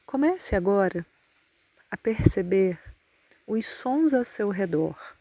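A Brazilian woman guides a meditation as a yoga nidra instructor. Her voice is dark and relaxed, and she speaks very slowly, leaving long, soothing pauses. The sound is dry and close, with little room around it. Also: mid-range voice, no room sound. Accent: Brazilian Portuguese.

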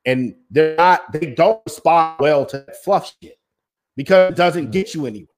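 A man with a deep voice, a former basketball coach, speaking bluntly and emphatically as an interview guest. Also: normal speed. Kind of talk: interview conversation.

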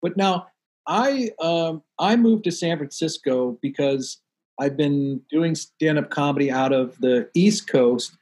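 DC-area male podcast host, a bit high-pitched, friendly and filler-heavy. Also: slow pace, a little mellow. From Washington DC area, USA. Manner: friendly, chatty, many "uh" fillers. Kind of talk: casual interview chat.

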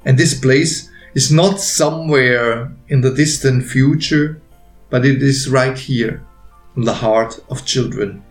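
A man reading his own story aloud as a narrator, with gentle, deliberate phrasing and pauses. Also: mid-range voice. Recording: roomy echo with faint music behind.

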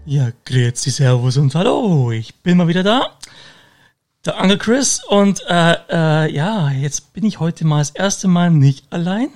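A man's voice: on the high side, lively intonation, unhurried, darkish tone, faint music behind. A man hosting a casual interview podcast, rambling along with fillers and restarts. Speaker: podcast host.

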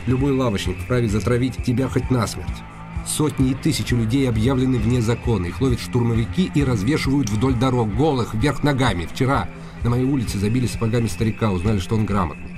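A man's voice: mid-range voice, fast, music in the background, natural voice. An actor playing a passionate, impatient young nobleman in a radio drama, speaking earnestly and insistently. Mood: heated, urgent. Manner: earnest, insistent, formal address.

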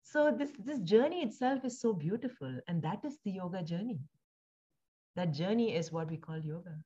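Woman, low pitch, thoughtful, hesitant, many fillers, muffled recording. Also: lively intonation, normal speed, dark tone, husky. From India, raised in Singapore. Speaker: guest. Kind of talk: reflective conversational interview.

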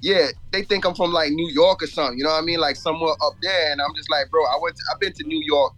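A man's voice: fairly high pitch, fairly even intonation, quick pace, fairly bright tone. A man from Jamaica and Florida chatting casually as a rapper guest in an interview, telling stories in slangy talk with frequent asides. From born in Jamaica, grew up in Florida.

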